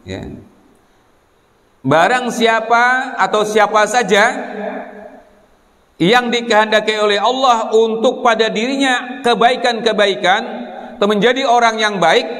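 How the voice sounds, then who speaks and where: A man's voice: sits high, a little slow, pauses often, a bit bright. A man from Indonesia preaching to students in a didactic way, putting questions to his listeners, in a reverberant hall. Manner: didactic, asks rhetorical questions and prompts listeners to answer. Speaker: Islamic boarding school preacher. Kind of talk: sermon to students. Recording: echoey hall with long reverb.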